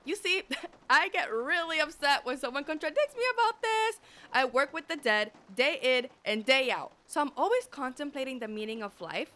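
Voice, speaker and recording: Earnest morgue assistant, played by a woman. High, very animated, insistent radio drama delivery. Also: moderate pace. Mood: indignant, earnest. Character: earnest, excitable assistant dissector who works with the dead. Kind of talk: radio play acting.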